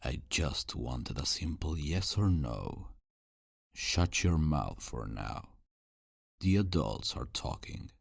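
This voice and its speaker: A sadistic ancient vampire, played by a man, drawls in an extremely deep, gravelly voice that swoops with theatrical mockery. He is condescending and smug, in a close ASMR roleplay style. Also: sing-song, takes it slowly, no echo, full character voice. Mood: mocking.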